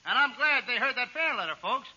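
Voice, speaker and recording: A comedian from the golden age of radio, his voice high and very bright, rattling through gags with a boyish, flustered delivery. The recording has the dull, muffled sound of an old broadcast. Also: expressive, a little fast. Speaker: comedian, star of the show. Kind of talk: 1940s radio comedy sketch.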